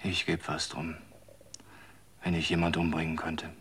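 A bored tired man in a radio play, super low and slow. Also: slightly rough, exaggerated voice. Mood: bitter. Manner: weary, flat, slow, drops to whispers. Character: bored man longing for any excitement. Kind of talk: radio drama acting.

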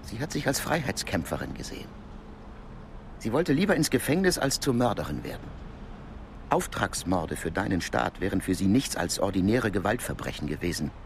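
An actor playing a calm, knowing Cold War intelligence contact in a naturalistic German radio drama, explaining things in a measured, matter-of-fact way. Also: male voice, on the low side, creaky, a little hiss or hum. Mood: grave, reflective.

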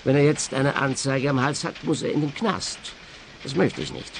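Ernster Schrottplatzchef, leichte Hintergrundgeräusche. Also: male voice, mid-range voice, expressive, moderate pace, gravelly, a little hiss or hum. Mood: aggrieved, firm. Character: earnest, matter-of-fact male scrapyard boss. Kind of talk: radio play dialogue.

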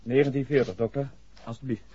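An actor playing a polite, guarded young garage mechanic with a Netherlands Dutch accent in a 1960s radio drama. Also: male voice, medium pitch, steady tone, fairly quick, a little hiss or hum, lightly acted. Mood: deferential. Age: young adult. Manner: deferential, reticent. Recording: muffled old radio recording.

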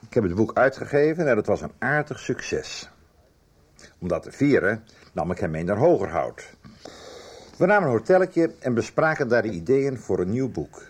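Husky, low-voiced older male publisher. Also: big pitch swings, normal speed. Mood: reflective, confessional. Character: older male book publisher recounting a guilty past. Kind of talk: radio drama dialogue, reminiscing.

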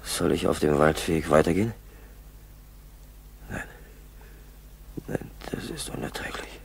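An actor playing a feverish, wounded pilot who talks to himself in halting, gasping fragments in a 1960s German radio play. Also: male voice, very deep voice, gravelly, full character voice. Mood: strained, desperate. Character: wounded, exhausted, feverish downed pilot struggling through snowy forest. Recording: hissy, noisy 1960s tape recording.

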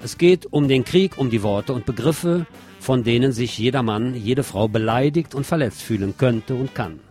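A man's voice: medium pitch, moderate pace, a little mellow. A man hosting a radio magazine, reading scripted commentary with wry, opinionated asides and emphatic delivery. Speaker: radio show host. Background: music under the voice.

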